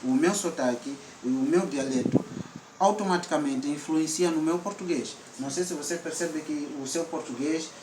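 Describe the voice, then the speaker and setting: A man's voice: fairly high pitch, normal speed, echoey room, distant mic, very noisy. A man from Mozambique with a central Mozambican accent, hosting a casual vlog chat and switching between English and Portuguese with many fillers. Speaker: vlog host.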